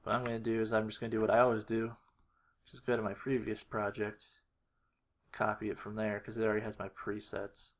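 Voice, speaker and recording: A man giving a casual screen-recorded walkthrough, thinking aloud in a low-key, halting mutter. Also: monotone. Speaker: video creator. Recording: faint noise with keyboard clicks. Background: computer keyboard typing and mouse clicks.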